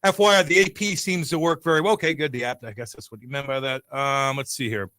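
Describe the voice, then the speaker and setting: A man's voice: mid-range voice, steady tone, quick pace, sharp tone, dry recording, studio quality. A man hosting a sports talk show, joking and stumbling over his words while reading listener comments. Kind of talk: casual sports talk chat. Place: radio studio.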